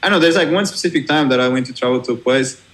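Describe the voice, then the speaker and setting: A man's voice: mid-range voice, a bit bright. A young Portuguese man with a Portuguese accent, a DJ guest talking casually in an interview, restarting his sentences and laughing easily. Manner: halting, many restarts and fillers, laughs easily. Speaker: guest DJ and music producer.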